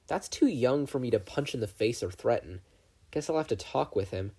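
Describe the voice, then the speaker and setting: A matter-of-fact young protector, voiced by a man narrating fiction. He is formal, firm and deadpan, with a husky edge to a mellow voice. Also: mid-range voice, moderate pace. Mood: stern.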